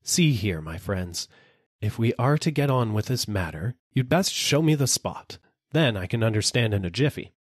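Guy doing a sly little jackal for a storybook, playing dumb n polite, swoopy pitch, dry sound. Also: expressive, normal speed, slightly rough, no room sound. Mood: fussy, befuddled. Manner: vacant, apologetic, exaggeratedly puzzled.

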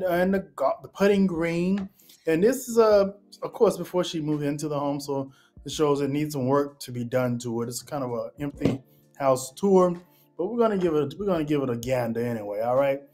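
A man's voice: a little high, a little mellow. A man in his thirties hosting a real estate video, chatting casually and thinking aloud as he talks. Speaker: real estate channel host.

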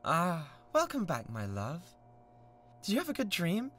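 A man's voice: sits high, huge pitch swings, a little slow, a bit bright, exaggerated voice. A British man playing an obsessive young yandere kidnapper in a dramatic ASMR roleplay, possessive and menacing. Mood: giddy, doting. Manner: possessive, menacing, swinging from tender coaxing to frenzy.

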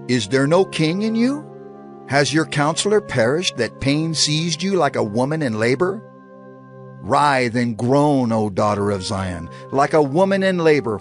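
A male audio Bible narrator reads in a solemn, dramatized style. His delivery is declamatory, with a middling pitch that moves in lively swings. Faint music plays behind him. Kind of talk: dramatized scripture reading, solemn oratory.

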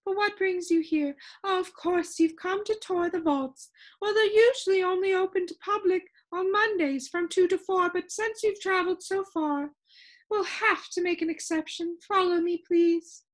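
A woman's voice: extremely high pitch, steady tone, voice pushed up. A woman voicing a dotty, eager, talkative female keeper of a fortress of sounds in a storybook. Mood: gracious, brisk. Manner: chirpy, talkative, brisk and hospitable. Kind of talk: storybook character voice.

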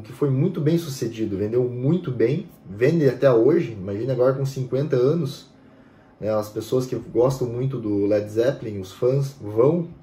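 A man's voice: mid-range voice, roomy sound. A man in his thirties, a music history host, explaining steadily to camera at home, often tagging sentences with "né". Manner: steady, explanatory, frequent "né" fillers. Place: home room with bookshelves.

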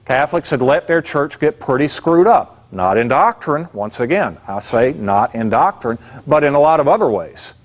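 A man's voice: mid-range voice, lively intonation, moderate pace, hardly any pauses. A man giving a casual classroom lecture as an adult religion class instructor, plainspoken and conversational. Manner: conversational, plainspoken, many fillers and asides. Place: church classroom.

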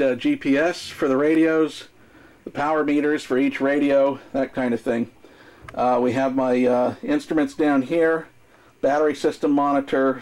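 An older man on a docked boat, casually walking through his radio gear in a relaxed, rambling way with many fillers, recorded on a handheld camera mic. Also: mid-range voice. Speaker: sailor showing his boat's radio gear. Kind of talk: casual hands-on demonstration. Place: boat cabin at a dock.

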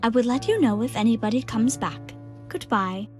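Woman playing a gentle, worried monster mother, lively pitch. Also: moderate pace, faint music behind, natural voice. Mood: tender farewell. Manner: formal, careful, no contractions. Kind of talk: fan audio drama acting.

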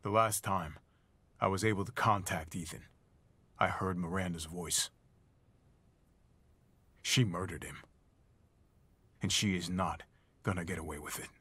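Casting: hardened middle-aged captain, commanding and weary game hero, tense bright edge, reverberant room. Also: male voice, sits low, steady tone, a little slow, pauses often. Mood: grim, subdued. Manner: terse, commanding, forceful. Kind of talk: cinematic video game acting. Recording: echoey reverberant space, faint noise.

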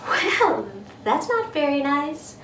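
A woman voicing a mild, friendly little female ladybug in a children's storybook, gentle and reluctant. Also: high voice, sing-song, slow pace, exaggerated voice. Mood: polite. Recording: roomy, distant mic, faint noise.

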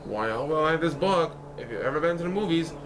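A man reading a picture book aloud in an expressive way, now and then stumbling over words. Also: fairly high pitch, fast talker, noisy background. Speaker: children's book reader.

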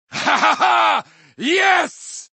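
Casting: deranged Viking berserker, high raspy shrieks, wildly swinging pitch, slow and drawn out, dry studio. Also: male voice, big pitch swings, dry recording, heavily acted. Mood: manic taunting. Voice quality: gravelly, raspy shouting with manic cackles. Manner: boastful, taunting battle cries, sudden drops to a menacing growl. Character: crazed Viking warrior racer, male, bloodthirsty and manic. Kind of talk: video game character voice lines.

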